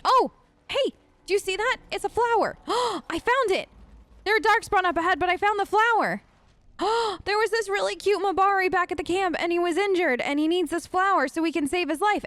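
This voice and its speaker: A woman's voice: very high-pitched, fairly bright tone, no echo. A woman hosting a role-play let's play, chatty and playful, talking close to the microphone. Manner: playful, bantering. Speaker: gaming video host.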